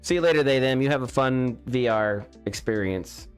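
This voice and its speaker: A man's voice: medium pitch, moderate pace, clean tone, faint music behind. A young man chatting casually on a live stream while building a game, exclaiming at mistakes and restarting his sentences, speaking into a studio mic. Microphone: studio mic with pop filter.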